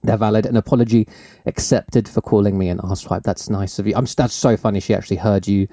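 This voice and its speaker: A man's voice: fairly low pitch, steady tone, fast, dark tone, no echo, studio quality. A man, hosting a podcast in a rambling, teasing, laugh-filled chat. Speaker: podcast host.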